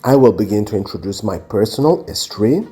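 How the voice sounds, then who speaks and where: A man's voice: on the low side, sing-song, a little fast, warm tone, faint music behind. A man from Italy with an Italian accent, hosting a solo podcast, speaking off the cuff with many fillers and restarts, recorded on a cell phone. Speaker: podcast host. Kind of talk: unscripted solo podcast talk.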